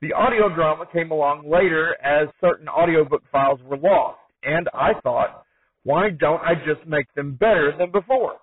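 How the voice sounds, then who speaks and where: A man's voice: a little high, normal speed. A man hosting a podcast, asking interview questions and talking about his show in a conversational style. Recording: thin phone-like band-limited sound, dry.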